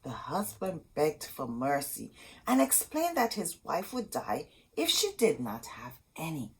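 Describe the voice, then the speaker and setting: Slow, animated woman reading to children. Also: low voice, husky. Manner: expressive, addressing young children. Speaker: children's storytime host and reader. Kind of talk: children's storybook read-aloud with asides.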